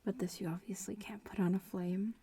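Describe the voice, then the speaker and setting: A woman voicing a young witch-in-training in an ASMR roleplay, murmuring and whispering with a creaky, breathy voice and a nonchalant drawl. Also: mid-range voice, steady tone, fairly quick, dark tone. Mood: nonchalant, explaining. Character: casual young witch-in-training, bratty schoolmate.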